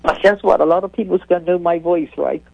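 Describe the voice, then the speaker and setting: An older woman, a caller to a talk show, with a strong loud voice, chatting and telling stories and often repeating her words. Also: lowish voice, fast, vocal fry. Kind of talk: phone-in chat. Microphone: phone. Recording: dull lo-fi phone line.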